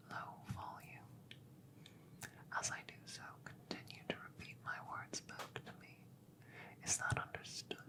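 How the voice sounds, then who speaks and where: A woman voicing a calm, curious plant-like alien scientist in an ASMR audio roleplay, whispering softly and close with precise, formal, detached phrasing. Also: lightly acted. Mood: hushed, clinical. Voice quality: soft, breathy whispering. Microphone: close microphone.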